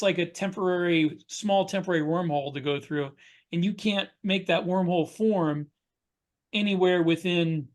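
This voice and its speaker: A man co-hosting a writers' podcast over a video call, talking casually and a little haltingly. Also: high voice. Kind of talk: casual panel discussion.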